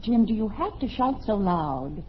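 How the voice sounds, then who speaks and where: A woman's voice: medium pitch, sing-song, a little slow. A woman playing a polite but firm wife and mother in an old-time radio comedy. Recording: muffled old radio recording with background noise.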